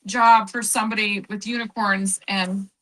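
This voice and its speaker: A woman's voice: mid-range voice, level tone, moderate pace, a bit bright, rough recording. A woman in her fifties, co-hosting a craft live stream in casual, chatty talk full of exclamations and restarts. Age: 50s.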